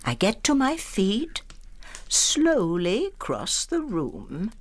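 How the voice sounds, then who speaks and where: Swooping, husky genteel older wife. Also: female voice, medium pitch, wildly swooping pitch, drawn-out words, exaggerated voice. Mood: coy, spiteful. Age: 60s. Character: genteel older wife, coyly spiteful, theatrical, needling. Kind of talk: radio drama acting.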